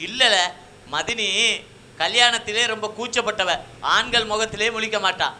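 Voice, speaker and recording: A man's voice: high voice, steady tone, moderate pace, sharp tone, slight background noise. A man voicing an evasive village husband in comic Tamil puppet banter, insistently making excuses in rural dialect. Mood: defensive. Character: evasive village husband puppet, guarding his shy wife.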